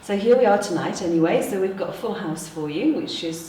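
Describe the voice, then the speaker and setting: A woman's voice: mid-range voice, animated, a little fast, dark tone. A woman hosting a casual chat, agreeable and affirming, often echoing what her guest says. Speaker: host and event organiser. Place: echoey indoor room in a hotel. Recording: roomy, distant microphone, faint noise.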